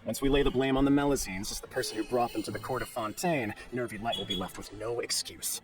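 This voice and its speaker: A man's voice: medium pitch, fast, over music, close to an everyday voice. A man playing a bitter, scheming villain in a video game, with a smug, sneering manner. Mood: smug, plotting.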